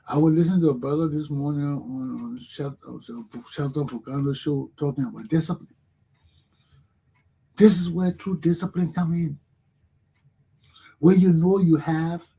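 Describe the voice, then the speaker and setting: A man's voice: a little high, warm tone, breathy edge, low-quality recording. A middle-aged man from Liberia with a Liberian accent, hosting a talk show and giving an emphatic, preachy motivational monologue that repeats its points.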